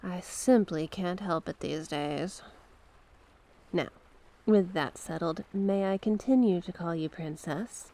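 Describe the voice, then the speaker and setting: A woman's voice: lowish voice, slow pace, warm tone. A woman playing a mysterious sea witch in an ASMR roleplay, coaxing and sly, speaking with genteel courtesy and terms of endearment. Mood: smooth, courteous.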